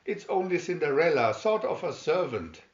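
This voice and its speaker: Storybook villainess performed by a man: cruel, haughty older stepmother, dismissive, somewhat high, roomy sound. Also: big pitch swings, moderate pace, muffled recording. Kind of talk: fairy tale storybook reading.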